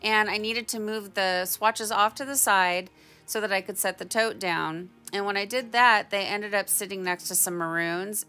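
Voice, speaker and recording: Bright-voiced woman giving a casual tutorial. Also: medium pitch, clear, resonant tone. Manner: chatty, conversational, informal. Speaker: tie-dye tutorial host. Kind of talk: casual instructional voice-over.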